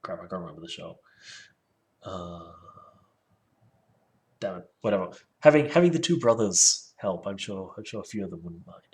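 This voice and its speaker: A man's voice: low voice, expressive, moderate pace, pauses often. A man reading a book aloud and critiquing it casually, with sardonic, rambling asides. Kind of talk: casual solo commentary with read-aloud passages.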